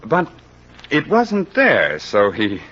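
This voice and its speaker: An actor playing a brisk, wry American police captain in 1940s radio drama style, clipped and commanding, on a muffled old recording. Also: male voice, mid-range voice, huge pitch swings, a bit bright, exaggerated voice. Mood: matter-of-fact.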